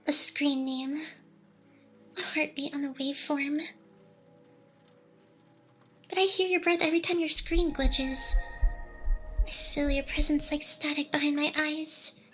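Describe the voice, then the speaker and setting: A woman playing a playful, possessive female AI hacker in love, in an intimate ASMR roleplay with breathy sighs and soft teasing. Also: high-pitched, fairly even intonation, a little slow, pauses often, soft background music. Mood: tender, possessive.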